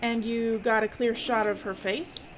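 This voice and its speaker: An actress playing a bossy, impatient, sharp-tongued filmmaker in an audio drama. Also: female voice, fairly high pitch, fairly even intonation, a little slow, lightly acted. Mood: sarcastic. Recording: dull, noisy audio drama recording.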